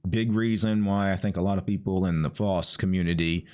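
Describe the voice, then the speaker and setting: A man hosting a casual video chat, talking in a measured, explanatory way. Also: sits low, steady tone, a little mellow.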